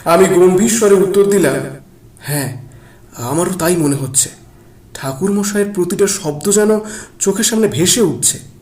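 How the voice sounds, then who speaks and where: A man's voice: high-pitched, moderate pace, pauses often, a little mellow. A man presenting and narrating a scripted dramatic story as the channel's narrator. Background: music.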